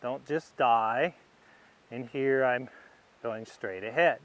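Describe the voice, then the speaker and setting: A man giving instructional commentary as a riding instructor, thinking aloud in short, unhurried phrases as he demonstrates. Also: medium pitch, a bit bright, highs cut off.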